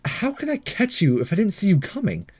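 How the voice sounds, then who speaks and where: A man's voice: high voice, sing-song, fairly quick, a little mellow, soft background music. A man hosting a game playthrough, reading visual novel text aloud with jokey asides and the odd stumble.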